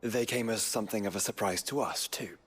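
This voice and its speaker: A man's voice: fairly low pitch, a little fast, fairly bright tone, breathy edge. An actor playing a thoughtful, reassuring nobleman in a video game drama, formal and articulate in his speech. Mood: musing.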